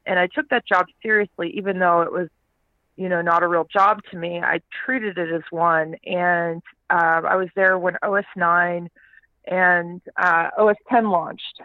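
A woman raised in Texas, born in Canada, chatting as an interview guest, talking in quick restarts and fillers. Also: lowish voice, fairly even intonation, no room sound. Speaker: guest, startup CEO. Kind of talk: casual interview talk. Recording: tinny phone-like line.

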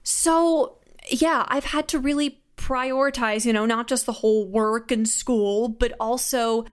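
A woman's voice: on the high side, unhurried, smooth. A woman aged nineteen talking casually and candidly as an interview guest, chatty and self-deprecating. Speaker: guest, audio drama writer and sound designer.

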